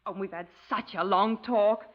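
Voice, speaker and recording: A woman playing a naive, infatuated young wife in a 1950s radio drama, breathless, effusive and over-excited. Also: mid-range voice, a little slow, close to an everyday voice. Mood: gushing, fluttery. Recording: dull, lo-fi 1950s radio recording.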